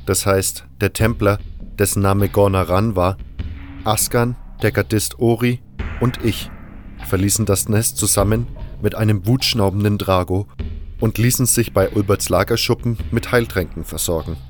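A man reading a scripted first-person fantasy story as narrator, in a measured, literary delivery. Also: fairly low pitch, fairly even intonation, faint music behind. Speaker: narrator of a fan audio drama.

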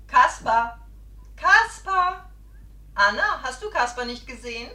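Anxious mother, high lively voice. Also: slow pace, roomy sound, heavily acted. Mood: worried searching. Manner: alarmed, calling out, exclaiming. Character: anxious motherly woman searching for missing boy. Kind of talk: German radio drama acting.

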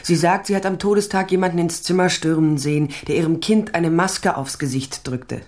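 A woman's voice: fairly low pitch, normal speed, darkish tone, lightly acted. A woman playing a tall, sardonic private detective in a crime radio drama, speaking and narrating in a dry, self-possessed way. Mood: challenging. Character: tall sardonic female private detective, former police officer. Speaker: lead actor and first-person narrator.

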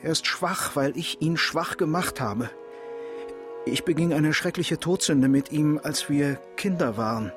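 A guilt-ridden criminal penitent acted by a man in a radio play. The voice is fairly high for a man, intense and coarse, over faint music. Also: unhurried, natural voice. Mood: guilty, haunted. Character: guilt-ridden, volatile criminal confessing to a priest. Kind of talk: radio drama acting.

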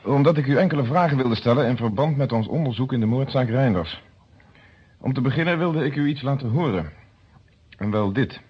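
An actor playing a male police inspector in a radio play, husky, formal and pointed, coolly interrogating with a sardonic edge. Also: medium pitch, animated, brisk, slight background noise, lightly acted. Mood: coolly official. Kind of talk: Dutch radio play dialogue. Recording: dull, lo-fi old radio recording.

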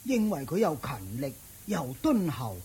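An actor playing an anxious rich old father in a Cantonese radio drama, sighing and lamenting in a drawn-out Hong Kong Cantonese delivery. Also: on the high side, very animated, mellow, slightly rough, exaggerated voice. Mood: troubled, regretful.

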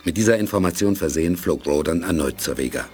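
Deep-voiced male radio play narrator. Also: fast, faint music behind. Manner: even, storytelling.

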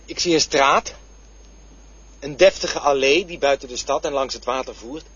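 An actor in a radio drama playing a man who vividly recalls his boyhood by the river, with a husky voice. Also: medium pitch, normal speed, fairly bright tone. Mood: wistful. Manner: vivid storytelling. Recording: dull lo-fi radio play recording.

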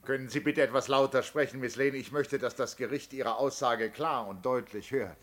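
An actor playing a methodical defence lawyer in a 1970s German radio play, questioning insistently with deliberate, pointed pauses. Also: male voice, medium pitch, fairly bright tone, close to an everyday voice. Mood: formal, insistent.

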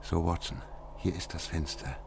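A man's voice: low voice, fairly even intonation, moderate pace. An actor playing a shrewd, commanding male detective in a German radio drama, speaking with theatrical deliberation. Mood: hushed, commanding. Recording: noisy radio play recording.

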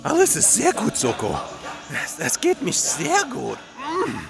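An actor playing a pompous American gourmet traveller who moans and exclaims over food in a radio drama. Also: male voice, high voice, wildly swooping pitch, measured pace, vocal fry, over music, heavily acted. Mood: ecstatic. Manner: moaning, exclaiming with food-tasting delight.

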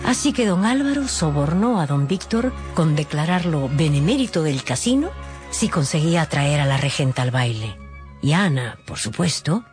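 A woman's voice: deep voice, animated, moderate pace, a little mellow, faint music behind. A woman narrating a dramatized radio audiobook expressively, with conversational asides.

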